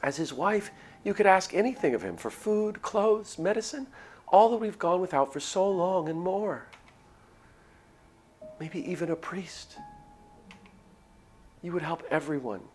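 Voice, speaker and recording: A man's voice: high-pitched, expressive, measured pace, pauses often, voice pushed up. A man voicing an earnest young village woman from a visual novel, pleading emphatically as she persuades. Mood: pleading, urgent. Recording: music under the voice.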